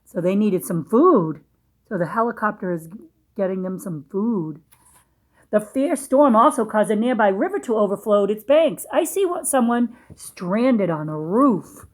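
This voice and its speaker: A woman reading a storybook aloud to young children, pausing in a teacherly way to ask questions and explain things simply. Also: mid-range voice, expressive.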